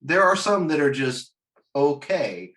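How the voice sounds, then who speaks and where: A man hosting a casual chat show, talking in a halting, joking way with the odd mild swear. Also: fairly high pitch, very animated, bright, forceful tone. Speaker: host.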